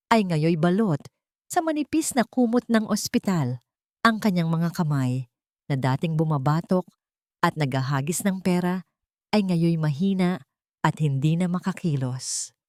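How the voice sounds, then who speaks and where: Low-voiced Filipino woman narrating a drama. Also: expressive, unhurried, long pauses, dry recording, clean studio sound. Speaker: story narrator. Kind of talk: scripted first-person dramatic story narration.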